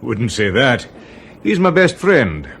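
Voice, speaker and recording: Blunt, clipped airline captain. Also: male voice, medium pitch, wildly swooping pitch, slow pace, a bit bright, slight background noise, exaggerated voice. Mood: dry, guarded. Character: terse, dry, no-nonsense male airline chief pilot. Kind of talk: radio drama acting.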